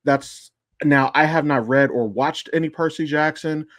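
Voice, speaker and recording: A man's voice: fairly high pitch, a little slow, a bit bright, no room sound, clean studio sound. A man chatting casually as a podcast guest or co-host, telling a story in a rambling, digressive way. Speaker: podcast co-host and amateur voice actor. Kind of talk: casual chat.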